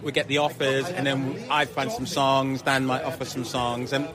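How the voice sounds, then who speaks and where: A man's voice: mid-range voice, completely flat delivery, normal speed. A man talking casually as a musical director being interviewed. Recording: crowd chatter behind.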